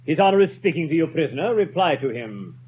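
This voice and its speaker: A firm, formal judge. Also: male voice, fairly high pitch, big pitch swings, fast, slightly rough. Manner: formal, commanding. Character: presiding judge, man, middle-aged, firm and formal. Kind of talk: 1930s radio drama acting. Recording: dull lo-fi 1930s radio broadcast, faint hiss.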